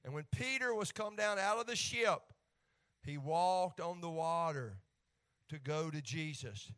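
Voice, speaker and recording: A man's voice: on the high side, expressive, a little slow, fairly bright tone. Folksy Appalachian preacher man. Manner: folksy, joking, rises to fervent emphasis in scripture reading. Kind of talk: sermon.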